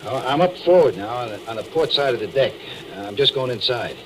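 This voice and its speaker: An actor playing a haunted, nervous deep-sea diver who narrates his ordeal haltingly in 1940s radio drama style. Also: expressive, slight background noise, lightly acted, poor audio. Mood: uneasy, halting. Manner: halting, hesitant, brooding. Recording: old 1940s radio recording with music.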